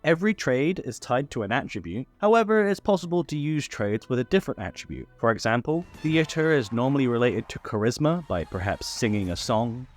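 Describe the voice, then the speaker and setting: A man's voice: mid-range voice, expressive, fairly quick, few pauses. A man hosting a scripted tabletop game rules explainer, listing things step by step. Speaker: tutorial host. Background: background music.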